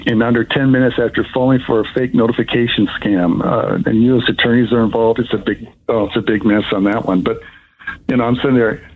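An older man, sixties or above, giving an unscripted, rambling personal account as a caller, in a halting way. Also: medium pitch, fairly even intonation, narrow, tinny audio, slight background noise. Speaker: caller telling of being scammed.

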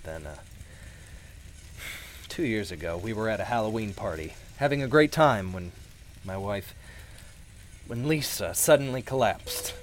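A man playing a hesitant, guilt-ridden widowed husband confiding in an audio drama, with halting delivery. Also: on the low side, expressive, slight background noise. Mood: grieving, subdued. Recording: background music under the voice.

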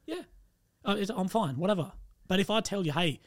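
A man's voice: high-pitched, animated, brisk. A man co-hosting a podcast in easygoing, joking chat. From Australia. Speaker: podcast co-host. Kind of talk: casual chat.

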